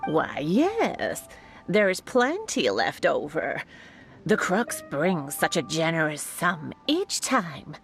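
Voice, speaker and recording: An actress playing a gentle, grateful old village granny with a creaky voice in a video game. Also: female voice, sits low, wildly swooping pitch, takes it slowly, soft background music, exaggerated voice. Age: older.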